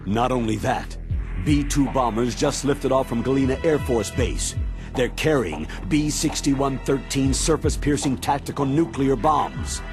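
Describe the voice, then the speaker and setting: A man's voice: medium pitch, unhurried, lightly acted. Game commander, older American man, acted: sober, matter-of-fact military authority, medium register, with score underneath. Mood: grave. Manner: grave, matter-of-fact briefing. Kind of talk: dramatic video game acting. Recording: background music under the dialogue.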